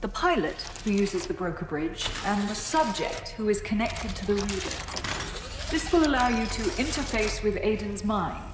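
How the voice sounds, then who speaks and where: Game scientist: woman playing cool, formal, mid-pitched, in a reverberant hall. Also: lively intonation, normal speed, close to an everyday voice. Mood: cool, clinical. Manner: formal, unflustered, explanatory. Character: composed, clinical female scientist, coolly detached. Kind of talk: video game cutscene acting. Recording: echoey hall, faint ambient noise.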